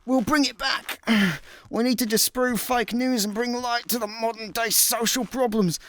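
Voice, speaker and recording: A man's voice: very high voice, fairly even intonation, normal speed, a bit bright, no room sound. A Scottish man playing a laid-back, wry space slacker in a comedic radio play, dry and matter-of-fact.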